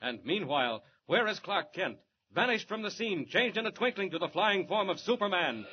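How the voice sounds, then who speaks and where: A man's voice: sits high, sing-song, normal speed. A man narrating a scripted 1940s radio serial in an urgent, declamatory, dramatic manner. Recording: muffled, noisy old radio recording.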